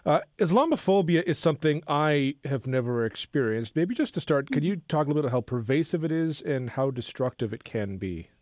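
A man hosting a talk radio show from a studio, speaking in an earnest, measured way with filler pauses. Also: a little high, animated, darkish tone, no echo. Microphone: studio microphone.

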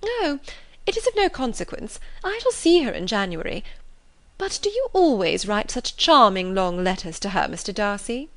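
A woman voicing a fawning young society lady who flatters and exclaims eagerly. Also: medium pitch, moderate pace, no echo. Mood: gushing. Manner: effusive, flattering, rising exclamations.

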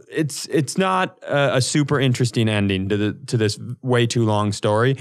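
Male podcast co-host, average pitch, casual comic storyteller, foul-mouthed and rambling, given to exaggeration. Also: clear, resonant tone. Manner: rambling, profane, animated exaggeration.

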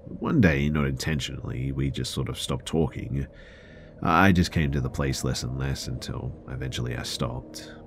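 A man's voice: very deep voice, moderate pace, gravelly, slight background noise. A man narrating first-person scary stories in a conversational style.

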